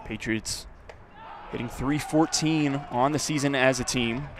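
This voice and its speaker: A man's voice: mid-range voice, measured pace. A man doing live play-by-play baseball commentary as a radio announcer. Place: outdoor ballpark. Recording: steady background noise at outdoor ballpark.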